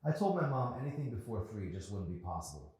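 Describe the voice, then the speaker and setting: A man's voice: fairly low pitch, sing-song, fast talker, darkish tone, pitched above the performer's own voice. A man voicing an awkward, defensive, reluctant teenage boy in a novel reading. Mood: defensive, grudging. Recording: very echoey room.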